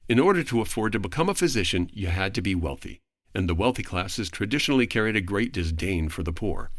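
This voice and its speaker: A man speaking as a podcast guest, giving a prepared, articulate explanation in formal, measured phrasing. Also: fairly low pitch, lively intonation, no room sound, studio quality.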